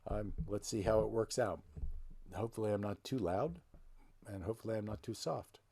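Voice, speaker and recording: Middle-aged guy with a gravelly kinda low voice, chatty and folksy, close to the mic. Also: moderate pace, mellow, a little hiss or hum. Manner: rambling, self-correcting, folksy. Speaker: genealogy channel host. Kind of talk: casual explanatory talk. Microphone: desk microphone close to him.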